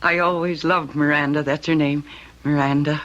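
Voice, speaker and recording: A woman's voice: low-pitched, fairly even intonation, normal speed, fairly bright tone. An actress playing a wistful, dreamy young married woman in an old-time radio drama, with a childlike earnestness. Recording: dull lo-fi radio recording.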